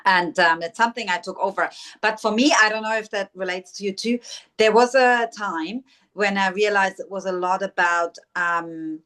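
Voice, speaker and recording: A woman's voice: mid-range voice, steady tone, moderate pace. A woman hosting a spiritual podcast, affirming her guest and talking about intuition and soul guidance. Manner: warm affirming, spiritual vocabulary. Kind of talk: casual interview chat.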